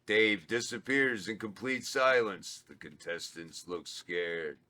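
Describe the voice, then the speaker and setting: A man reading stage directions aloud at a table read, slow and a little halting. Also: lowish voice. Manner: slow, flat, stumbles over words. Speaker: script reader of stage directions.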